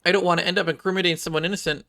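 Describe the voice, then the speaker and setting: A man's voice: high-pitched, fairly even intonation, racing, a bit bright, faint music behind, pitched above the performer's own voice. A man voicing a timid, nervous girl in a game, stammering hesitantly and apologetically.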